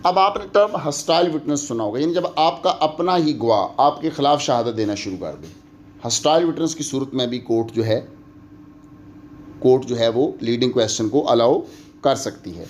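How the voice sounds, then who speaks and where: A man with a Pakistani accent giving an explanatory lecture in a didactic way, mixing in English phrases that he says more slowly. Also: medium pitch, expressive, a little hiss or hum. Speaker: law lecturer. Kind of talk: explanatory lecture, switching between Urdu and English.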